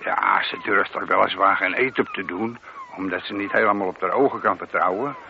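An actor playing a deferential police sergeant in a radio play, reporting in a careful, matter-of-fact way. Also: male voice, low voice, brisk, creaky. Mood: earnest, narrating. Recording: dull, noisy old radio recording.

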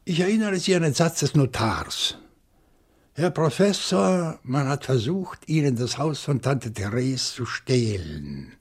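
An actor playing an agitated, accusing professor who questions deliberately and dramatically in a German radio crime drama. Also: male voice, mid-range voice, big pitch swings, slightly rough. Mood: grave, deductive.

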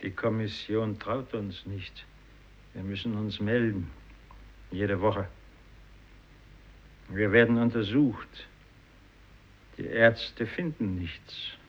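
Stern resigned fisherman, low slow voice, radio play, muffled recording. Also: slightly rough. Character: stern, resigned fisherman under medical watch. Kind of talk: 1950s literary radio play acting.

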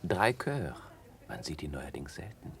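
An actor in a 1960s German radio drama playing an urbane, condescending upper-class card player with a nonchalant manner. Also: male voice, a bass voice, sing-song, measured pace, creaky, heavily acted. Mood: blasé, curious.

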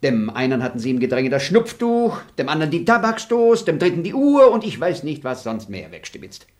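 A sly, fawning bailiff for a radio play. His voice sits fairly high and leaps up and down as he wheedles and giggles. He stays pushy and theatrical throughout. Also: wildly swooping pitch, fairly quick, a little mellow. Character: sly fawning bigoted male estate bailiff. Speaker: estate bailiff. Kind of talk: German radio play acting.

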